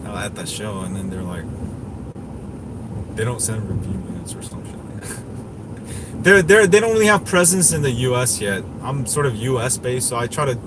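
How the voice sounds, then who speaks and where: Rambling man over clear background noise. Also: mid-range voice, sing-song, normal speed, long pauses. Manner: rambling, opinionated, repeats himself. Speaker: tech livestream host. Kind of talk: casual livestream chat, answering viewers.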